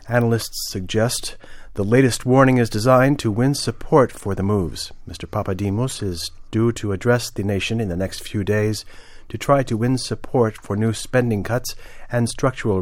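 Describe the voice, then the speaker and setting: Male radio newsreader, fairly low pitch, dry studio-like sound, scripted bulletin delivery. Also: dry recording. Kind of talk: scripted news reading.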